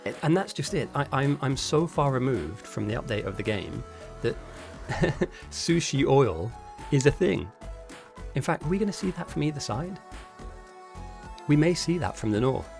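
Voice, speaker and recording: A man with a hoarse voice, casting and chatting casually on a live game stream, rambling a little and answering chat. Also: mid-range voice, lively intonation, normal speed, a little mellow, faint music behind. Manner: chatty and rambling, with frequent restarts. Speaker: esports caster and streamer.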